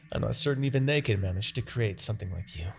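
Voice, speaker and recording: A man's voice: lowish voice, expressive, fairly quick, vocal fry, soft background music. Man voicing an imperious demon king, wildly swooping delivery. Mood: irritated. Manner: theatrical, brooding, swinging between murmurs and outbursts. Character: imperious, obsessive male demon king, easily exasperated villain. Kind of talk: dramatic fantasy audio roleplay.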